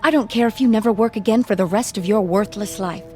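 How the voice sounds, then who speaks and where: An actress playing a bitter, bossy ex-wife in a radio drama, sharp, scornful and sarcastic. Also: female voice, mid-range voice, fast, faint music behind, lightly acted. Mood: furious.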